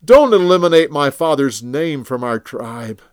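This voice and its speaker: A man's voice: on the high side, lively intonation, a little slow, smooth, no echo, clean studio sound, voice pushed up. A man doing a tearful remorseful old king. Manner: tearful, imploring. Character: remorseful older king, weeping.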